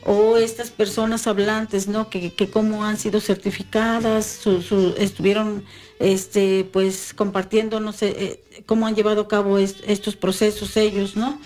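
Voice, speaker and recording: A woman's voice: medium pitch, flat delivery, unhurried, darkish tone, soft background music. A woman in casual conversation, talking hesitantly with many fillers and restarts.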